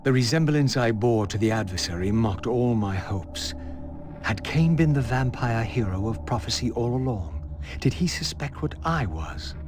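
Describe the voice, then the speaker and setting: An actor playing a brooding, tormented undead wraith who narrates a dark fantasy game in formal, theatrical speech. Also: male voice, mid-range voice, lively intonation, moderate pace, close to an everyday voice. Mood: ominous, dismayed, then anguished, questioning. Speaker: video game protagonist narrator. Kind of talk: dramatic scripted narration. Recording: music behind the voice.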